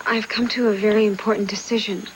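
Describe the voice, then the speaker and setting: A woman's voice: medium pitch, flat delivery, quick pace, background noise, natural voice. An actress playing an anxious, determined expectant mother in a television soap, earnest and hesitant, often pleading. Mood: nervous, resolute. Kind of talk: television soap drama acting.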